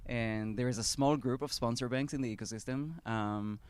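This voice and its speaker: A man with an Israeli accent, a startup founder speaking at a live event, earnest and measured with pauses and restarts. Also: mid-range voice, clean tone.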